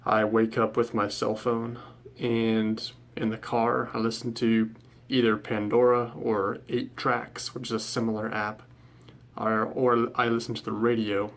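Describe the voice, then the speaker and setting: A man in his twenties answering interview questions fluently and matter-of-factly. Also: on the low side. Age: 20s. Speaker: focus group participant.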